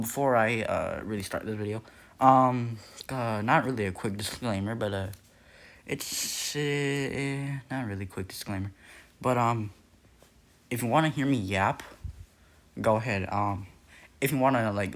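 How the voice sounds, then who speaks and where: A young man talking casually in a video, rambling with many fillers, restarts and self-corrections. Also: fairly low pitch. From Nepal. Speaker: youtuber. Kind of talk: casual lore video narration.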